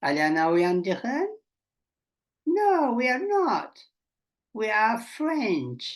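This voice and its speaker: A woman leads a beginner language lesson. She reads each short sentence slowly and clearly, one at a time. Her pitch moves up and down a lot between lines, at a middle height. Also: wildly swooping pitch. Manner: clear, deliberate, sentence-by-sentence repetition. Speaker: language teacher. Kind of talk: slow drill-style language lesson.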